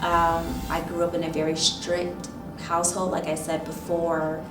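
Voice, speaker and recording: A woman in her thirties, a teacher, reflecting thoughtfully in an oral history interview, often pausing with "um" and "you know". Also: fairly low pitch, monotone. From Michigan, raised in Ohio. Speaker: teacher and instructional coach. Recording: roomy, distant mic with music behind.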